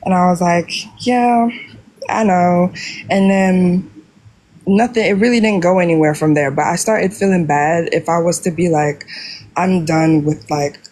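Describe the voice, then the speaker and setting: A woman's voice: fairly low pitch, unhurried, a little mellow. A woman with a Jamaican accent who calls in for advice, telling her story in a rambling, sheepish way full of fillers. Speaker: caller asking for advice. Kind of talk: casual chat.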